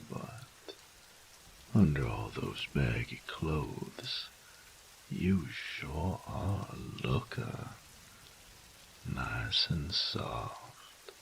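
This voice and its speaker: A man playing a flirtatious, doting roommate and lover in an intimate ASMR roleplay, with a teasing, folksy drawl and breathy, whispery moments. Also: slight background noise, exaggerated voice, poor audio. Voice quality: very deep, gravelly, breathy whispers.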